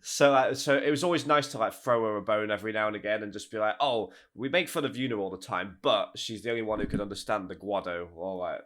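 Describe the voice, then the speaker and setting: Low-ish guy chatting on a podcast, laughs a lot, says like a lot. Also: fast, hardly any pauses, fairly bright tone. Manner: laughs often, lots of filler words. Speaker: voice actor of a fan abridged series. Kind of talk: casual podcast chat.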